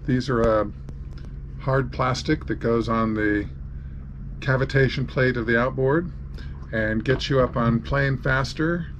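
Background noise sits under the voice of a man in his sixties with a mid-pitched delivery. He hosts an informal sailing vlog and explains things plainly, one step at a time. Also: unhurried, muffled recording. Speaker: sailing vlogger and host. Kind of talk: informal narrated travel tour and how-to.

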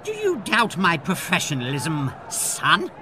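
A man acting a comic audio-drama lord, high-voiced and slow-talking. He is a grovelling toady who turns brash and snooty, with noisy outdoor sound behind him. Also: wildly swooping pitch. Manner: obsequious, switching to brash and bossy. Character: fawning, grovelling male lord, rude to commoners.

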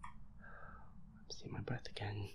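A man's voice: slow, whispered, dull audio, lightly acted. A man playing a feisty werewolf boyfriend in an audio roleplay, muttering nervous, sweary complaints while playing a horror game. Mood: scared, tense. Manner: sweary, jittery, muttering complaints under the breath.

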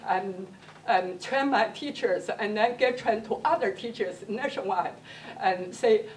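Middle-aged female teacher, native Mandarin speaker with accented, halting English, earnest, distant mic. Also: on the high side, darkish tone. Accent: Mandarin Chinese. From China. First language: Mandarin Chinese. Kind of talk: impromptu public comment at a meeting. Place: school library, public meeting. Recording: distant mic, faint room noise.

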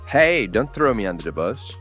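A man's voice: on the low side, very animated, moderate pace, fairly bright tone, soft background music. A man voicing a laid-back teenage boy who teases his friend. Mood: teasing. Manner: teasing, joking.

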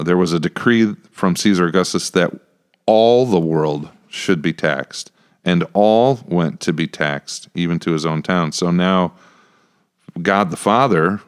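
A middle-aged American man co-hosting a casual podcast, chatty, halting and repeating words as he goes. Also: deep voice, clean tone. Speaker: podcast co-host, church pastor. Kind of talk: casual Bible discussion podcast. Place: small indoor room with acoustic panels. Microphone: close podcast microphone with headphones.

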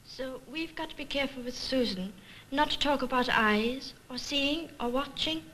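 An actress playing an earnest, hesitant young nurse in a 1950s instructional film. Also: female voice, high-pitched, normal speed, sharp tone. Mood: anxious, doubtful. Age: young adult. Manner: hesitant, candid. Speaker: junior nurse. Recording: faintly noisy 1950s film soundtrack.

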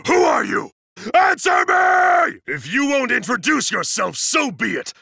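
An actor playing a huge, stern, fiercely loyal student enforcer in an anime fighting game, bellowing grandiose declarations. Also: male voice, extremely high pitch, sing-song, measured pace, vocal fry, sharp tone, dry recording, raised voice, clean studio sound, full character voice. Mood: furious, bellowing.

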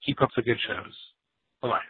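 A man's voice: fairly quick, no echo. A man calling in to a podcast over the phone, making an informal, sincere appeal with some hesitation. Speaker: caller to a podcast. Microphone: phone call. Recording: muffled narrow-band phone line.

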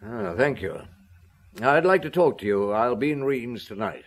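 A man playing a calm, probing middle-aged police inspector in a radio drama. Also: mid-range voice, normal speed, close to an everyday voice. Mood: brisk, sober.

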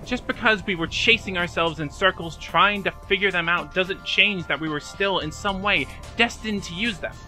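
A man performs an anguished young man in an audio drama, with a high, bright and forceful voice. He rambles emotionally and doubts himself, with music playing behind him. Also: normal speed, hardly any pauses. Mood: frustrated. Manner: emotional, rambling, self-deprecating. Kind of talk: fan audio drama acting.

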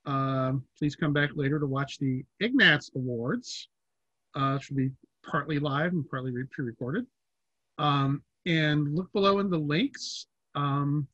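A man in his fifties informally moderating a panel, hesitant and pausing between phrases. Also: fairly high pitch, a bit bright, no echo. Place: indoors at home, video call. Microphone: computer mic on video call. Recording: slightly dull video-call audio.

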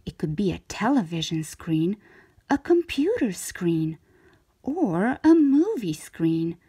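A woman reading a children's picture book aloud in expressive narration, drawing out key words for emphasis. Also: lowish voice, slow pace, slightly rough. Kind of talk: expressive scripted storybook narration for young children.

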